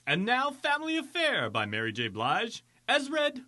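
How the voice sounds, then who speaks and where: A man's voice: extremely high pitch, huge pitch swings, moderate pace, sharp tone. A man presenting in a mock-formal, grand scripted announcing manner. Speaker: presenter introducing readings.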